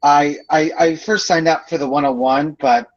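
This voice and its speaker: A young man in his twenties chats with classmates about his courses, his voice sitting a little high. He wanders through long explanations full of fillers. The sound comes through a dull, muffled video-call line. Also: fairly even intonation, normal speed. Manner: chatty, long rambling explanations with many fillers. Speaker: student in a group project. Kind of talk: casual chat on a video call.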